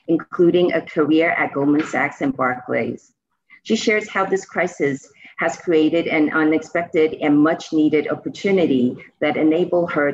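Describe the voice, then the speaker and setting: A woman's voice: deep voice, husky. A woman moderating an online forum, formally introducing a speaker. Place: online video conference. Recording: dull lo-fi online call audio.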